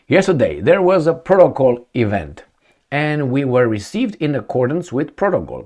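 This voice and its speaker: A man's voice: mid-range voice, darkish tone. A middle-aged man reading a news article aloud as a commentator, breaking off with sarcastic asides and stumbling over words. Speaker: political commentator.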